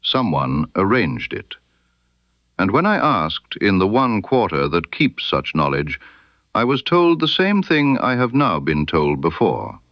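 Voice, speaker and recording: A man narrating a period mystery story in the first person, in a measured, literary way. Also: low voice, creaky, no echo, dull audio.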